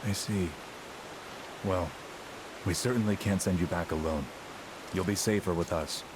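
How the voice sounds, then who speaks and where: A man's voice: deep voice, steady tone, a little slow, background noise. A man playing a cool, commanding elite soldier in a video game cutscene, terse and dryly composed. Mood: grave, aloof.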